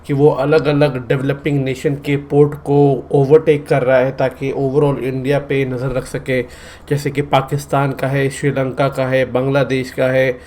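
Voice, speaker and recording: This is an earnest man from India with a Delhi Hindi accent, chatting casually to his viewers as he sets up a reaction video. His pitch sits in the ordinary middle for a man, and his manner runs from friendly to pleading. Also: little pitch movement, a little slow, darkish tone, a little hiss or hum.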